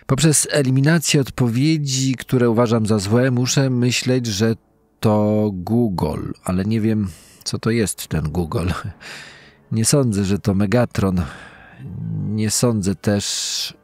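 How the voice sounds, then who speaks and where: Slow, hesitant quiz contestant, acted by a man. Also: fairly low pitch, darkish tone, no room sound. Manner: hesitant, thinking aloud. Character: hesitant, muddled male quiz show contestant. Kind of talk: dramatized reenactment.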